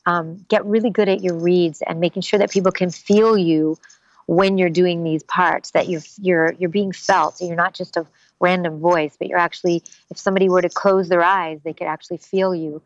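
Woman voice actress, middle-aged, animated chat, dry close sound, muffled lo-fi. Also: on the low side, normal speed, no room sound. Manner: animated, slips into character voices. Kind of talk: casual interview talk.

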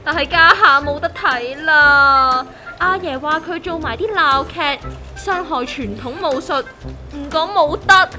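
A woman's voice: high-pitched, a little slow, pushed and bright, faint music behind, exaggerated voice. A woman with a Hong Kong Cantonese accent playing a gloomy, aggrieved young worker who whines and complains in a comedic audio drama. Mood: indignant complaining. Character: gloomy, aggrieved young woman worker griping about her strict grandpa.

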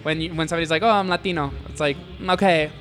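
A man hosting a relaxed chat with a band, slangy and playful, often stumbling into his questions. His voice sits a little higher than most men's. Faint music runs behind him. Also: rapid-fire. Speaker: interviewer, host. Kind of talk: casual interview.

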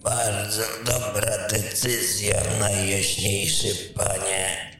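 Male actor as disdainful palace wizard, gravelly, unhurried, pompous, reverberant, music underneath, fantasy radio play. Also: fairly low pitch, monotone, fairly bright tone, heavily acted. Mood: rigid, haughty. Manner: haughty, disdainful, deliberate. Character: pompous, rigid male court mage, scornful of rivals. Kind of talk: fantasy audio drama acting.

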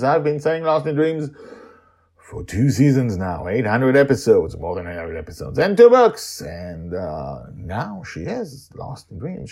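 Theatrical male storyteller, very lively pitch. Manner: theatrical, emphatic. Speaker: podcast host and storyteller. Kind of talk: dramatic scripted storytelling.

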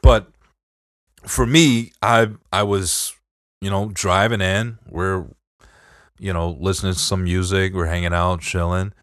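A man with a Detroit accent and a very low voice, talking in loose, profane podcast banter. He keeps it laid-back and easy, leaning on "bro". The sound is dry and close. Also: fairly even intonation, unhurried, a bit bright, dry recording. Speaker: podcast co-host. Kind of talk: casual chat.

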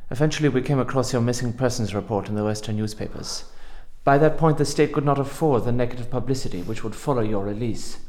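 An actor playing a cold, unrepentant older former secret police officer in a radio drama, measured, formal and dismissive. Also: male voice, mid-range voice, darkish tone, reverberant, natural voice. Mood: cold, justifying.

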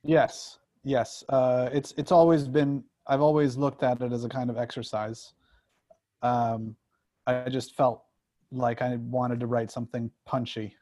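A man speaking as a screenwriter in casual discussion, halting and often repeating words. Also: medium pitch, level tone, warm tone, no echo, studio quality. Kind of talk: casual discussion of own work.

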